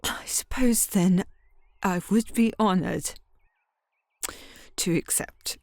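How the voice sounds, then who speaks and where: A woman playing a genteel but secretly jealous scheming mistress in an audio drama, with hesitant, deliberate delivery. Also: mid-range voice, a little mellow, no room sound, clean studio sound. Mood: polite, reluctant. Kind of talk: scripted ASMR audio roleplay.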